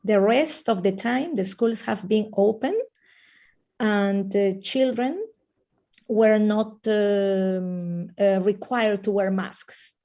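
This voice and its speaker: A woman from Peru who teaches early childhood, talking in a webinar conversation with many fillers and pauses over a video call. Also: medium pitch, dark tone. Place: lives in the Netherlands.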